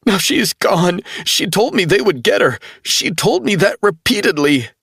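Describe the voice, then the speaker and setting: A man voicing a grief-stricken young man who pleads through sobs, high-strung and scared. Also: very animated, moderate pace, fairly bright tone, husky, dry recording, studio quality, exaggerated voice. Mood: distraught pleading. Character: distraught young man, grieving hacker.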